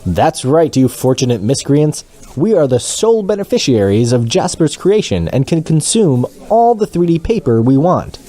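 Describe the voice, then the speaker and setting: Man playing a smug, mellow podcast host. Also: fairly high pitch, very animated, normal speed. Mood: gloating. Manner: glib, gloating, dismissive. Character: smug, flippant male comedy podcast news host. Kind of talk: scripted comedy banter.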